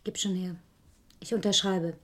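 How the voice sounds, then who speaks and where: An actress playing a lawyer's uneasy, obliging sister in a crime radio play. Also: female voice, lowish voice, fairly even intonation, moderate pace, a bit bright, close to an everyday voice. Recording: noisy old radio play, typewriter clatter. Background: typewriter clicks.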